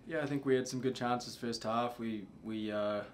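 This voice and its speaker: A young man, a soccer player, answering questions at a post-match press conference in a subdued, halting way with many fillers. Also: mid-range voice, fairly even intonation, a little hiss or hum. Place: press room before a sponsor backdrop.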